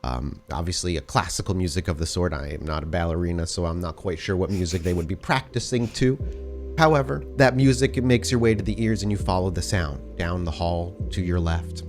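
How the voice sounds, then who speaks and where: A man narrating a tabletop role-playing game as storyteller, descriptive and deliberate, feeling his way through the scene. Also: low-pitched, darkish tone. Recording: music behind the voice. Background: music bed.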